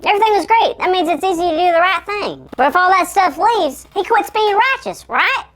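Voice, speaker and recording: Panicky pint-sized male puppet host, piercing chipmunk squeak, whiny. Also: lively intonation, a little fast, a bit bright. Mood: curious. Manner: frantic, whiny, exclamatory. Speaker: kids' show character. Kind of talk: children's Bible show comedy.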